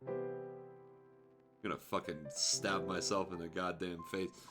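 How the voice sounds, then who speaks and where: A man's voice: sits low, flat delivery, unhurried, over music. A man streaming a fan fiction read-aloud, switching between narration and wry, sarcastic, sweary commentary.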